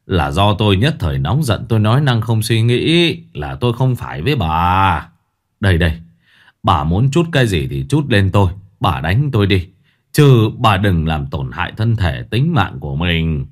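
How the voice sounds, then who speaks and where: A man voicing a quick-tempered, flustered older village husband who snaps and then pleads. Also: on the low side, huge pitch swings, full character voice. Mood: pleading. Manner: gruff, pleading.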